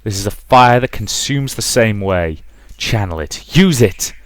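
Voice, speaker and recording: A man voicing a stern, bitter, vengeful mother in a visual novel, commanding and deliberate. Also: lowish voice, animated, no echo.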